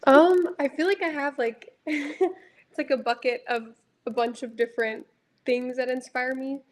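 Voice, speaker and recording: A young woman from Dallas with a light, high voice, a music artist talking reflectively about her songs and filling her speech with casual fillers. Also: steady tone, unhurried. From Dallas, Texas. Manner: reflective, heavy use of filler words. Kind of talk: casual interview chat.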